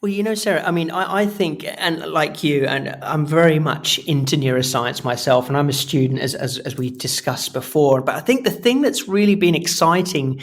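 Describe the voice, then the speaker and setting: A man hosting a podcast interview in a conversational style, with halting, wordy phrasing. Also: a little high. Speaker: podcast host.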